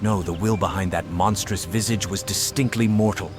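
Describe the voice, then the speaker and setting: An actor voicing a troubled, earnest warrior with lost memory in a video game, speaking formally and gravely. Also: male voice, sits low, steady tone, moderate pace, close to an everyday voice. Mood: grave, courteous. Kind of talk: scripted video game voice acting. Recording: studio voice under game music.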